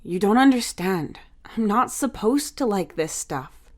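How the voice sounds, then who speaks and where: A woman's voice: mid-range voice, animated, measured pace, a little mellow. A woman voicing a shy, sulky boy in a storybook reading, hesitant and defensive in manner. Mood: embarrassed, sulky. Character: shy, sulky boy, secretly curious and easily embarrassed.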